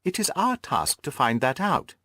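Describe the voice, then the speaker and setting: A man voicing an incisive, composed gentleman detective in an audiobook, questioning and reasoning with precise emphasis. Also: a little high, big pitch swings, normal speed, no echo, studio quality, voice pushed up, exaggerated voice. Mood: emphatic, reasoning. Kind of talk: audiobook character acting.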